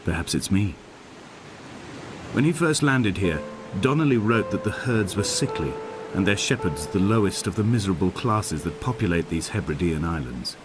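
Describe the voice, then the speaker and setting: An actor playing a solitary, melancholy middle-aged man, narrating in a measured, solemn, literary style for a game. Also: sits low, expressive, noisy background. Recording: music behind the narration. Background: clear background music.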